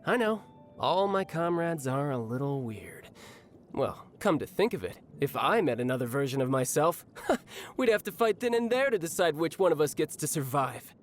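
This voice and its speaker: A young man who is a cheerful game warrior, itching for a fight. His voice sits fairly high, and his delivery is breezy and confident with a playful menace. Music runs behind him. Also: sing-song, normal speed. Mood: excited, cocky. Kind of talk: scripted video game character voice lines.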